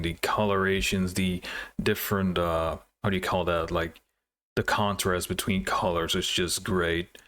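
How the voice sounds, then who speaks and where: Low-voiced man doing casual reactions. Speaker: reaction video host. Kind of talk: casual live reaction commentary. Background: music from the game trailers.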